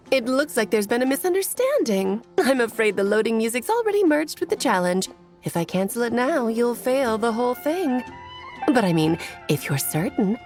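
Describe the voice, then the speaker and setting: A woman's voice: fairly high pitch, darkish tone. A woman voicing a breezy, theatrical witch in a video game, smugly teasing in her delivery. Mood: feigned regret. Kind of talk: video game cutscene voice acting.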